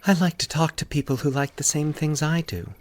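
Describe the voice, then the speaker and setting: A man voicing a small, lonely, shy and eerie woman in a horror story, speaking softly and hesitantly. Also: on the high side, steady tone, brisk, pitched above the performer's own voice, close to an everyday voice. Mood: shy, wistful. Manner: soft, hesitant, diffident.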